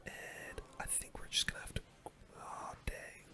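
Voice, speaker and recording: A gaming host speaks in a breathy, unvoiced whisper with bright, sibilant crispness. The delivery is ASMR game commentary, casual and slangy, narrating each move as it comes. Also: measured pace. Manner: relaxed casual gamer slang.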